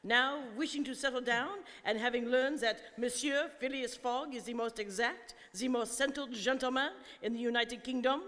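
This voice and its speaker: A man acts a valet in a radio play, high in pitch and formally polite. He is eager to please his master. The sound has a long hall reverb. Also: steady tone, normal speed, few pauses, sharp tone. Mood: deferential, earnest. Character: deferential Frenchman seeking a manservant's post, former jack-of-all-trades. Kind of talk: stage radio drama acting.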